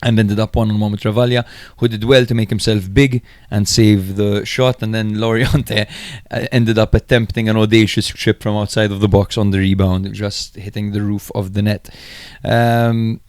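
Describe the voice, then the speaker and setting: A man's voice: on the low side, level tone, moderate pace, few pauses, a little mellow, no room sound, studio quality. A man co-hosting a podcast, recapping and analysing football matches in an informal, chatty way. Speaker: podcast host.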